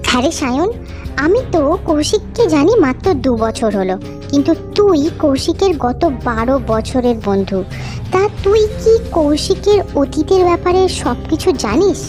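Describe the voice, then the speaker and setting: A woman's voice: high-pitched, big pitch swings, moderate pace, over music. An actress playing a young woman doctor in a cartoon horror story, worried, irritable and dramatic. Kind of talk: acted Bengali cartoon horror story dialogue.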